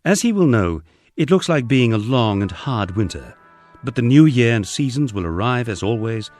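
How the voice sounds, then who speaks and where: A man's voice: mid-range voice, sing-song, moderate pace, a little mellow, soft background music. A man reading his own memoir aloud in a wry, storytelling manner. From England. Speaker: audiobook narrator. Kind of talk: first-person memoir narration.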